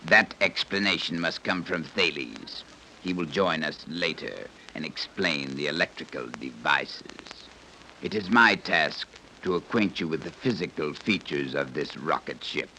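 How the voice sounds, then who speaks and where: A deep-voiced, stern guide from a secret advanced society speaks in formal, exact phrases. The tone is bright and forceful, in 1930s radio-drama style, over a faintly noisy old recording. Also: male voice, heavily acted. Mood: formal, matter-of-fact. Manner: precise, formal, measured explaining. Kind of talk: 1930s radio serial acting.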